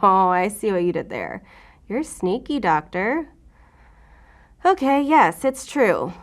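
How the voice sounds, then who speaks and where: Guarded, sardonic federal agent. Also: female voice, medium pitch, sing-song, slow pace. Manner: sardonic, defiant. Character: wounded, guarded female FBI agent recounting trauma. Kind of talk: audio drama acting, confessional.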